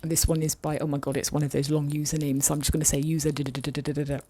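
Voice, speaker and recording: A woman vlogger chatting casually and thoughtfully with her viewers, often pausing and restarting her sentences. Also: sits low, flat delivery, mellow, no echo. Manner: thoughtful, hesitant, many fillers and restarts. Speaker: vlogger reading viewer comments. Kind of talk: casual chat.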